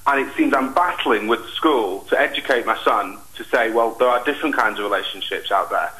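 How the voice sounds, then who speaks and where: A young man calling a phone-in, giving an earnest, halting personal account. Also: medium pitch, sharp tone, roomy sound, low-quality recording. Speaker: phone-in caller. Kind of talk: personal account on a live call.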